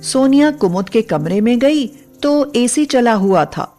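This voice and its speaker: A woman's voice: on the high side, animated, a little slow, mellow, soft background music. A woman narrating a scripted moral story as a storyteller.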